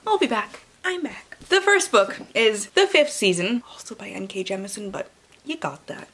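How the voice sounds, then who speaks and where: A woman's voice: medium pitch, animated, moderate pace, a bit bright, husky. A young adult woman vlogging about books in a casual, playful way, often interrupting herself and swearing freely. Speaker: book vlogger. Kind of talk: casual vlog chat with dramatic blurb readings. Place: indoor room with bookshelves.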